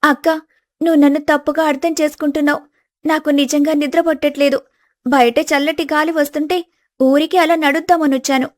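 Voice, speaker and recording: Innocent young daughter-in-law played by a woman, very high voice, gentle, pleading, dry recording, family story. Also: flat delivery, a little fast, dry recording, close to an everyday voice. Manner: pleading, apologetic. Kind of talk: dramatized village family story.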